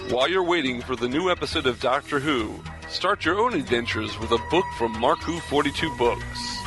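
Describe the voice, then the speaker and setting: A man hosting a podcast, moving between interview chat and announcer-style promo reading. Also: medium pitch.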